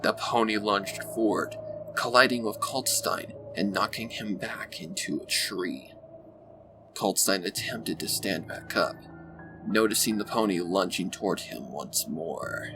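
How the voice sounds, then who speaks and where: A man reading a scripted dark adventure story as its narrator, in audiobook style. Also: low voice, unhurried, rough recording. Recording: music bed behind the voice. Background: loud background music.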